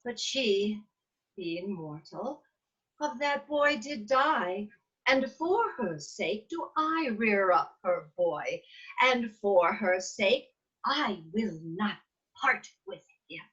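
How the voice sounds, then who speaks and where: A woman playing a proud, defiant fairy queen in a measured, theatrical reading of Shakespearean verse over a video call. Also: mid-range voice, expressive, a bit bright. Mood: wistful, resolute. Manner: theatrical, measured, emphatic delivery. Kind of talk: Shakespearean verse table read. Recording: dull, lo-fi video call audio.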